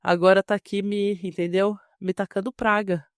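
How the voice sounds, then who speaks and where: A nasal, congested woman chats as a podcast co-host while nursing a cold and a sore throat. She jokes and laughs freely. Her voice sits at an ordinary pitch in a dry, close-miked recording. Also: level tone, moderate pace, clear, resonant tone, no echo. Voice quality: nasal, stuffy-nosed. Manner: joking, laughs often. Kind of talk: casual chat.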